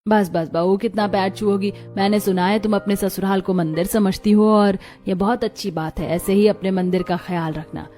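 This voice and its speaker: A woman voicing a kindly, traditional older aunt-in-law in a cartoon story, gentle and approving. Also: mid-range voice, fairly even intonation, normal speed, few pauses, faint music behind, lightly acted. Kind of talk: Hindi moral cartoon story dialogue.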